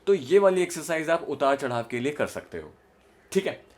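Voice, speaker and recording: A man's voice: on the high side, expressive. A young man teaching voice modulation in a casual spoken lesson, explaining exercises patiently and demonstrating them himself. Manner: slow, explanatory, repeats a line on rising notes. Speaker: voice coach.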